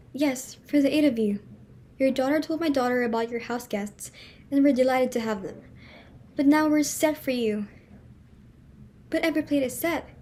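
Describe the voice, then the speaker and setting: A woman's voice: high voice, mellow, slight background noise. A woman playing a flustered, imperious society hostess and mother in a dramatic reading of a stage comedy, emphatic and exclamatory.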